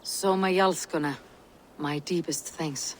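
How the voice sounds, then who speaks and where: An actress playing a loyal Viking warrior woman in a video game, speaking in a terse, solemn, measured way. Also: low-pitched, fairly even intonation, a little hiss or hum. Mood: solemn, respectful. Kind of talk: dramatic video game acting.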